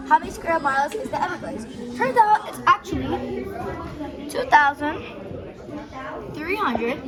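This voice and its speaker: Ten yr old girl doing a school presentation, high voice, stumbles and repeats herself, loud crowd chatter behind. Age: child, about ten. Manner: hesitant, restarts often. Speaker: student presenter. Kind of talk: class presentation.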